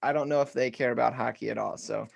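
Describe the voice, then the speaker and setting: A man's voice: medium pitch, steady tone, fast talker, gravelly, breathy edge. A man co-hosting a podcast, upbeat and joking, swearing casually as he introduces the guest. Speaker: podcast co-host. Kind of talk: casual chat.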